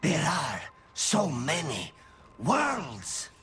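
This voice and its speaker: A curious demon from a fantasy game, dragging out broken fragments very slowly. The voice is badly hoarse and rough, swinging up and down in pitch. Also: male voice, huge pitch swings, fairly bright tone, exaggerated voice. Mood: eerie curiosity. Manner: halting, broken fragments with long stretched words.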